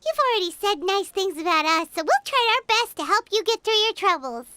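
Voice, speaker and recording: A woman voicing a tiny, chatty, excitable, childlike fairy companion in a video game, with music playing behind her. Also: very high voice, fairly quick, heavy background noise. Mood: cheerful, friendly. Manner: bubbly, exclaiming, refers to self in third person. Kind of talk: animated video game voice acting.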